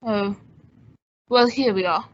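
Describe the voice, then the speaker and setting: A woman playing a suave, wry gentleman sleuth, polished and teasing, in an old-time radio detective drama. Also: medium pitch, takes it slowly, muffled recording, natural voice. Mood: wry, persuasive.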